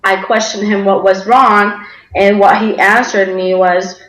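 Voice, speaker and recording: A woman's voice: medium pitch, level tone, a little slow, a bit bright, roomy sound, low-quality recording. A young woman from Denmark giving a personal testimony in an interview, hesitant with many fillers and restarts. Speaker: interview guest.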